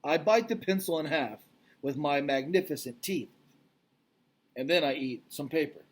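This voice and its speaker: A man's voice: on the high side, normal speed, slight background noise. A man reading a children's storybook aloud as narrator. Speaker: read-aloud reader.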